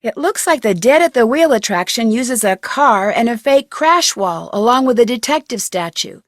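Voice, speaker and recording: A young American woman playing a curious, chirpy amateur detective in an adventure game, thinking aloud with clear enunciation. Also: fairly high pitch, animated, moderate pace, no room sound, clean studio sound, close to an everyday voice. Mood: inquisitive. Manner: clearly enunciated, inquisitive musing to herself.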